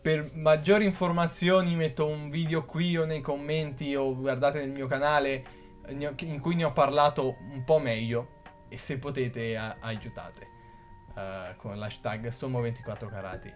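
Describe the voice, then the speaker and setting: A man's voice: medium pitch, steady tone, moderate pace, soft background music. A man narrating a scripted explainer video, reading from a script and stumbling now and then. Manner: reads from a script, occasional stumbles. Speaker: YouTube creator.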